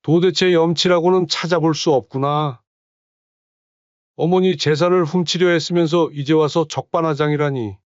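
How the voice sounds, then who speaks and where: Reproving village elder, voiced for an audio story: firm scolding, dry close sound. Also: male voice, a little high, steady tone, moderate pace, no room sound, dull audio, lightly acted. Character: indignant village head, stern elder.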